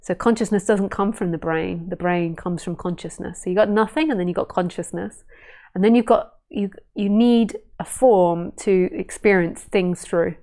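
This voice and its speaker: A woman spiritual teacher in a casual live stream, talking gently and unhurriedly, musing with fillers and restarts. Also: medium pitch, moderate pace. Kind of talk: casual live stream talk answering viewer questions.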